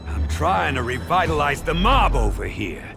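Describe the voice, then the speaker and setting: An actor playing a smug, menacing middle-aged mob boss in a video game, with a gravelly, gloating delivery. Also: male voice, fairly high pitch, sing-song. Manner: slow, deliberate, gloating. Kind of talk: video game villain acting. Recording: dramatic ominous music underneath.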